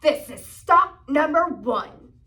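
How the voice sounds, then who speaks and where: A woman's voice: high-pitched, expressive, slow, pushed and bright, full character voice. A woman voicing a sour, scheming old male storybook grouch, with a snarling, sneering manner. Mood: sly, commanding. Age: middle-aged.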